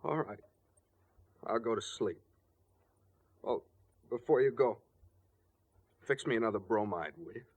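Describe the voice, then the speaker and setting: An actor playing an anxious, guilt-ridden murderer in 1940s radio style, husky and agitated. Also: male voice, fairly low pitch, expressive, unhurried, long pauses. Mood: shaken. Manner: agitated, pleading. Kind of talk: 1940s radio mystery acting.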